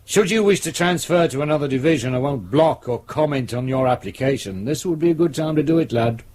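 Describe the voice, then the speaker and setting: Casting: older British police chief, domineering and cutting, condescending delivery, radio play. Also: male voice, on the high side, lively intonation, brisk, darkish tone. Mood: cold. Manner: condescending, scolding, cold. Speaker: actor.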